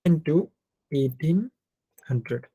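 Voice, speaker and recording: A man's voice: fairly high pitch, expressive, slow pace, mellow, husky, dry recording, soft background music. A man with an Indian accent teaching an interactive online maths class, working through problems aloud and often checking in with students as he switches between Hindi and English.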